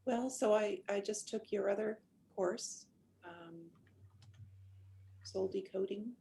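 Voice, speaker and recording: A woman's voice: medium pitch, fairly even intonation, vocal fry, mellow. A woman attending a webinar over a video call, speaking hesitantly about why she joined. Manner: hesitant, halting.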